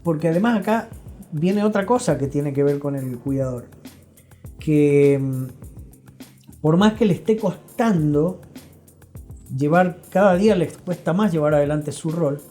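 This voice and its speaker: A man's voice: fairly high pitch, measured pace, pauses often, mellow. An Argentine man explaining didactically as an interview guest, often checking in with short tag questions. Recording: faint music behind the voice. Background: music.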